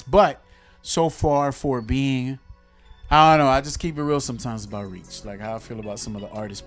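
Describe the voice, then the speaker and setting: An animated man co-hosting a podcast, from Miami, who chats about music in loose slang and often restarts his sentences. His voice sits at an average pitch, with faint music under it. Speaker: podcast co-host. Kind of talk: casual music chat.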